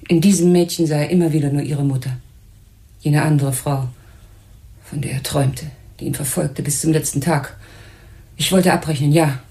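An actress playing a proud, bitter older widow and mother in a German crime radio play, speaking in a formal, genteel, measured way. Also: sits low, warm tone.

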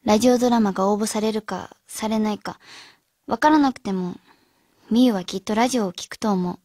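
A woman's voice: mid-range voice, a little fast, mellow, no room sound, clean studio sound, natural voice. A woman acting as an earnest teenage schoolgirl who leads her club friends in a radio drama. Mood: determined.

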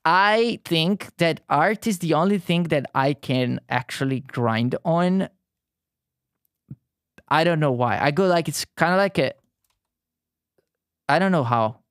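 Southern Italian male streamer, thirties, halting friendly chat, dry close sound. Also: a little high, lively intonation, a bit bright, clean tone, no room sound. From Italy. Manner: halting, frequent restarts and fillers, friendly. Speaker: art streamer. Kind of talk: casual live-stream chat while painting.

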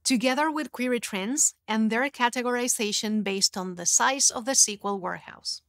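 A woman in her thirties presenting a scripted technical explainer in a studio, in a clear, even, instructive way. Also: on the high side, moderate pace, dry recording. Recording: dry studio sound.

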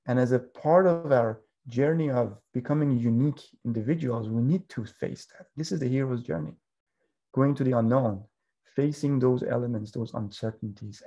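A man gives a reflective talk over a webcam from a bookshelf-lined room at home. His voice is gravelly and husky, with a mellow, dark tone. He lets his phrases stretch out as he thinks aloud. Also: mid-range voice. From Iran. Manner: thoughtful, drawn-out phrasing, tells a parable with voices. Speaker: guest speaker. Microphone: video call webcam.

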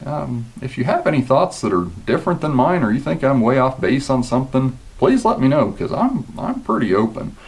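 A man in his thirties from Kansas, casually talking to the camera about rifle setups in a relaxed, conversational way, with plenty of ums and you-knows, in a small indoor gun room. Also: mid-range voice, a little fast, few pauses, poor audio. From Kansas, USA. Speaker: competition shooter and channel host. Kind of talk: casual gear talk to camera.

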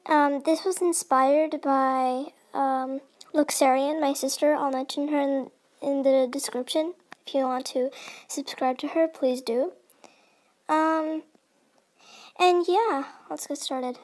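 A woman's voice: sits high, fairly even intonation, takes it slowly. A young woman giving casual, nervous commentary as she plays a horror game, with an informal, jumpy manner. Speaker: gamer, video creator.

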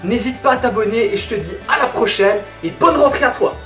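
A man's voice: extremely high pitch, expressive, fairly quick, bright, forceful tone. An eighteen-year-old man with a Belgian French accent from Hainaut, chatting casually and emphatically to camera. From southern Belgium. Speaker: YouTuber answering viewer questions. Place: small bedroom, indoors. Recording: roomy bedroom sound, music underneath. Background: music.

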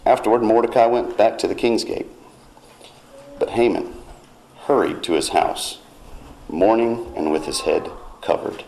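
A man with a very low voice preaches in church. His tone is gravelly, and he speaks in a measured, steady way. When he reads scripture, his emphasis rises. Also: a little hiss or hum, low-quality recording. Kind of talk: sermon retelling scripture.

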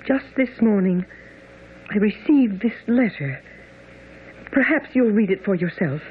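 An actress playing an earnest young Victorian gentlewoman in a radio drama, formal and precise in her puzzlement. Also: medium pitch, animated, a little slow, a little hiss or hum, close to an everyday voice. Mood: earnest, troubled. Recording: tinny, phone-like old radio broadcast.

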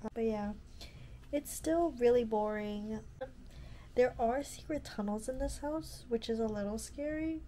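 A woman of nineteen talks to a close camera in her bedroom, her nose stuffed up from allergies. Her tone is mellow and warm, loosely strung together with fillers, and a faint room ambience hums behind her. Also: on the high side, measured pace, slight background noise. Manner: chatty, lots of "like" and "um", easygoing. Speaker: vlogger. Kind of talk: casual reading vlog chat. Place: bedroom, indoors. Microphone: camera at close range.

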